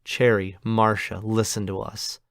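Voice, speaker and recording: A man's voice: on the low side, flat delivery, a little slow, no room sound. A cocky rich teenage boy pleads insistently in a raised voice, put on by a man reading the book. The sound is close and dry. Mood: pleading.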